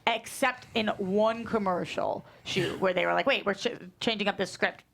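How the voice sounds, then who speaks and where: A woman's voice: medium pitch, normal speed, vocal fry, fairly bright tone, a little hiss or hum. A woman actor speaking frankly in a casual panel discussion.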